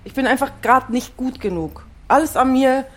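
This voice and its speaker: A woman's voice: high voice, normal speed. Fed-up, defensive wife in her forties. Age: 40s. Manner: defensive, exasperated. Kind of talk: naturalistic improvised-sounding audio drama dialogue.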